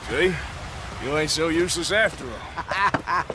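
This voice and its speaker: A man's voice: fairly high pitch, measured pace, noisy background, natural voice. An actor playing a rugged outlaw gunman in a video game, dry and casual, with an American accent. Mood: teasing. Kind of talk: naturalistic video game acting.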